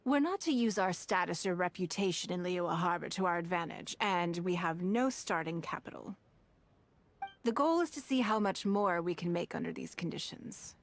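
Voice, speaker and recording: A woman's voice: on the low side, expressive, moderate pace, creaky, slight background noise. An actress playing a shrewd, wealthy noblewoman in a video game, composed, assured and refined. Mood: confident, inviting. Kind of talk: video game character acting.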